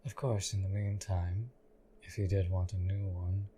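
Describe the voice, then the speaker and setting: A stoic, devoted knight acted by a man speaks in a low, even monotone. His delivery is formal, measured and deadpan, as in an intimate audio drama addressed to his liege. Noticeable background noise sits behind the voice. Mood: earnest, deadpan. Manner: deadpan, formal, measured, archaic courtly phrasing. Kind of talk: scripted audio roleplay addressed to the listener.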